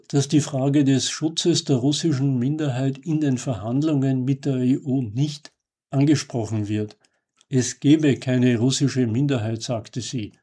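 A man reading a scripted commentary as a radio host, in a measured, formal and emphatic way. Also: medium pitch, dark tone, slightly rough.

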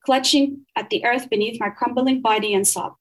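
A woman speaks in a somewhat high voice. She is earnest and measured, reading poetry and then explaining her research, stumbling and restarting now and then. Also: fairly even intonation. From Saudi Arabia. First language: Arabic.

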